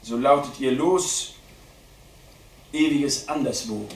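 A man giving a live dramatic poetry reading at a literary event, declaiming deliberately with strong shifts between hushed and loud delivery. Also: medium pitch. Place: indoor literary event venue at a theatre. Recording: roomy live hall recording, distant mic, faint noise.

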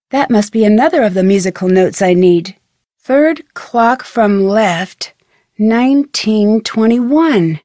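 A woman playing a curious, determined young detective in a video game, thinking aloud in crisp speech with an American accent. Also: on the high side, a little mellow, no echo, clean studio sound.

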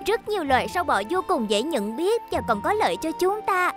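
Caring girl ambulance robot, super high, lively cartoon voice. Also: fairly quick. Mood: reassuring. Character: sweet, caring young female ambulance robot. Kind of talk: cartoon dub. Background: music.

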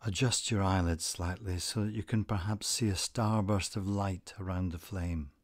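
A man narrating a scripted guided meditation, giving gentle instructions in a calm, deliberate manner. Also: low voice, dry recording.

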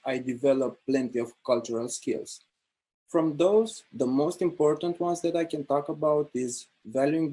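A young man speaking as an intern on a panel over a video call, earnest, with pauses and restarts. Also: medium pitch, warm tone, breathy edge, low-quality recording. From Romania. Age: young adult. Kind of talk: panel talk.

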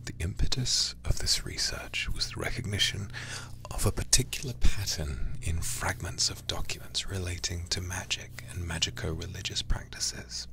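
A man reading a horror game aloud in ASMR style, hushed, breathy and deliberate, close to the microphone. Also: very deep voice. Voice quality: breathy, whispery. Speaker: livestreamer playing a horror game.

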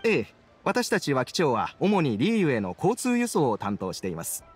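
ゲームで、男性声優が演じる礼儀正しく真面目な若い事務員。改まった事務的な口調で話す。. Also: male voice, fairly high pitch, wildly swooping pitch, moderate pace, husky, exaggerated voice. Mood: courteous. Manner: courteous, formal, businesslike. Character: polite, earnest young male office clerk. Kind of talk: Japanese anime game voice acting.

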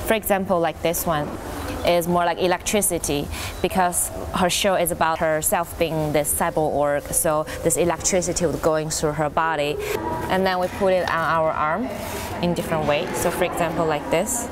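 A woman's voice: low voice, steady tone, normal speed, noisy background. A woman designer demonstrating lighting patterns in a plain, showing manner. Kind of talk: demonstrating a project.

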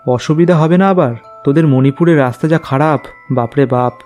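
A man's voice: fairly high pitch, fairly quick, a little mellow, soft background music, lightly acted. A man playing a young, friendly, dutiful reporter in casual cartoon story dialogue. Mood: bantering.

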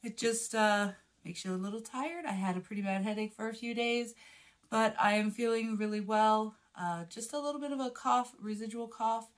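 A woman in her forties talks in a chatty, friendly way, her voice a touch hoarse and husky from a recent illness. She is in a small indoor room, speaking close to a phone camera mic. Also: on the high side, moderate pace. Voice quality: a little husky. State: slightly hoarse after illness. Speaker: vlogger host. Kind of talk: casual shopping haul chat. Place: small indoor room in front of a plain wall. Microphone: phone camera with a clip or shotgun mic at close range.

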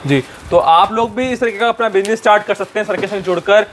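High-voiced man touring a warehouse. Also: fast, fairly bright tone, slight background noise. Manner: upbeat sales patter, addresses viewers directly. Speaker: YouTube host. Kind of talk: promotional warehouse tour.

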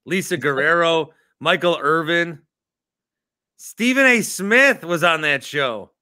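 A man's voice: on the high side, animated, slow pace, pushed and bright, dry recording, clean studio sound. An American man in his thirties hosting a sports podcast, chatting casually into a desk microphone at home. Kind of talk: casual chat. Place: small home room with framed pictures, video call. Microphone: desk microphone close in front.

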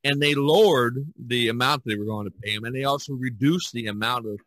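A man in a casual podcast discussion about art, telling stories in a halting, repetitive way. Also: mid-range voice, a bit bright. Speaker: podcast co-host.

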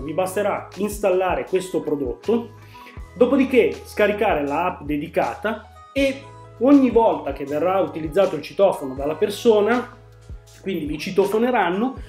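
Guy in his 40s, highish voice, chatty product explainer, echoey room. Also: darkish tone. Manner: explanatory, conversational. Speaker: tech channel host. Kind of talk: casual product presentation to camera. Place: small room at home, indoors. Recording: echoey room, music underneath.

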